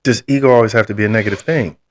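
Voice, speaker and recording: A man's voice: lowish voice, racing. A man co-hosting a podcast, casually asking probing questions and pushing back on his partner's points. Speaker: podcast co-host.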